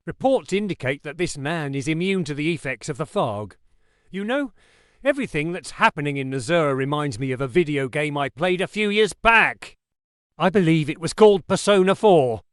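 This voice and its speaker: A man's voice: fairly high pitch, expressive, moderate pace, clear, resonant tone, dry recording, studio quality, close to an everyday voice. A man playing an earnest local TV news anchor, presenting a scripted bulletin in a conversational way. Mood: earnest, concerned.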